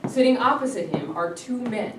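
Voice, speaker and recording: A woman's voice: fairly high pitch, animated, normal speed. A woman reading out stage directions as scripted narration at a staged play reading. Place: live staged reading in a room. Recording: echoey distant mic, clear noise.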